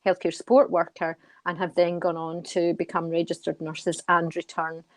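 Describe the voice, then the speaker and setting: A middle-aged woman giving measured, earnest answers as a radio interview guest, halting and repeating words as she goes. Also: on the low side, flat delivery, dry recording. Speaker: guest, care charity board member.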